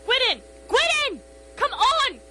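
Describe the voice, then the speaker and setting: A woman playing an anxious, quick ship's crew member in an amateur radio drama, with theatrical urgency. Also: extremely high pitch, very animated, exaggerated voice. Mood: panicked. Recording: very noisy home-made recording.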